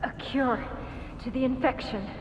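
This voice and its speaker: A woman's voice: high voice, steady tone, unhurried, highs cut off, noisy background. An actress playing an anxious, trusting young woman in a video game. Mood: anxious, hopeful. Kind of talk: video game cutscene acting.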